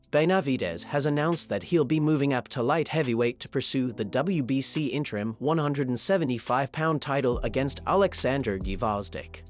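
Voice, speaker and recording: A man reading scripted sports news narration in an even, steady way. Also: mid-range voice.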